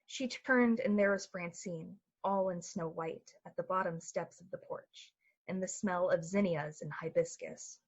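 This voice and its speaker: A woman narrating a radio play in a measured, atmospheric, dramatic storytelling style. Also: lowish voice, breathy edge, muffled recording. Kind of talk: literary radio-play narration in 1940s manner. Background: music.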